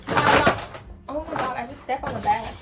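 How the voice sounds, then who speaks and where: A Vietnamese woman in her twenties, chatting excitedly and poking fun at herself while packing at home, filmed on a phone. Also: sits high, a little fast, heavy background noise. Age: 20s. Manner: chatty, excitable and self-mocking. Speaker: vlogger. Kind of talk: casual vlog chat.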